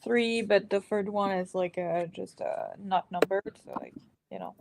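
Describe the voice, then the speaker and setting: A woman co-hosting a reading stream in casual chat, talking in a halting, rambling way and sniffling a bit from allergies. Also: medium pitch, fairly even intonation, gravelly, noisy background. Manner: halting and rambling, many fillers and restarts, trailing off. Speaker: co-host of a reading sprint stream.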